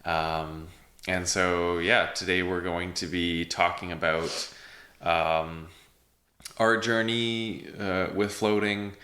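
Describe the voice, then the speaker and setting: A man's voice: low-pitched, steady tone, takes it slowly, pushed and bright. A man hosting a podcast in a casual, hesitant way, with many fillers and repeated words. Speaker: podcast host. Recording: echoey room.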